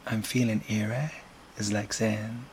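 A slightly hoarse man with an Irish accent, whispering softly with gentle chuckles in an ASMR boyfriend roleplay. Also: lowish voice, little pitch movement, unhurried, slight background noise.

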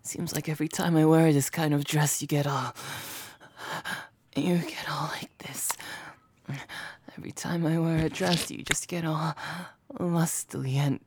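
A woman voicing a flustered, submissive young man in a dress in an intimate audio roleplay, stammering and pleading in a breathy whisper. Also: sits low, steady tone, measured pace, darkish tone, a little hiss or hum. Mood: breathy complaining.

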